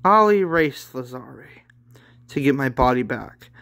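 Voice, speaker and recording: Possessed manga girl, read by a man: menacing, gloating, slow-paced, bright. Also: mid-range voice, expressive, dry recording. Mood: vengeful. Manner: gloating, threatening. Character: menacing possessed girl who seizes command.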